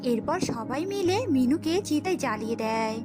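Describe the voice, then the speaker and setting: Female narrator for cartoon fables, high and animated delivery over clear background music. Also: normal speed. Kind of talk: scripted children's story narration.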